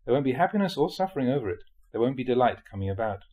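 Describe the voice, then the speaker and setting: Man with expressive, slightly husky reading voice. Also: medium pitch, rapid-fire. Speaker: monk reading dharma talks aloud. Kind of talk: reading a spiritual talk aloud.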